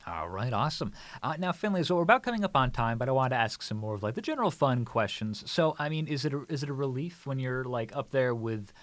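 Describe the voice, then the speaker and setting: A man's voice: mid-range voice, expressive, fast talker, hardly any pauses, fairly bright tone, no room sound. A man hosting a casual radio interview, chatty and jokey as he puts questions to his guests. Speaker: radio show host. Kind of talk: casual upbeat interview chat.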